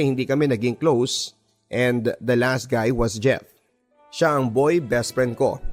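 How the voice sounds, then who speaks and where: A Filipino man tells a scripted love story as a radio drama narrator. His voice sits in a middle register and his delivery is expressive. Music plays behind him throughout. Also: mid-range voice. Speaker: radio drama narrator reading a listener's letter. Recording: voice over background music.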